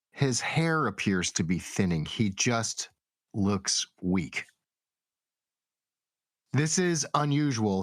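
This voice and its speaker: A man narrating a tabletop game as game master, improvising in a halting, deliberate way. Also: medium pitch, dry recording, studio quality. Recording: music behind the voice.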